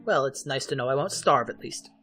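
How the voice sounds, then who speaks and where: Composed young tactician, acted out. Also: male voice, mid-range voice, pushed and bright, faint music behind, natural voice. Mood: amused. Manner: brisk, practical, orderly. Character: quick-witted, composed young male tactician. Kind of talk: fan audio drama acting.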